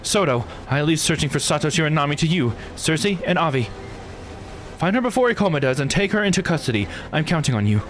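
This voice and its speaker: A man's voice: fairly high pitch, animated, brisk, a bit bright, soft background music. A man voicing a composed, authoritative female police superior in a visual novel game. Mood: commanding.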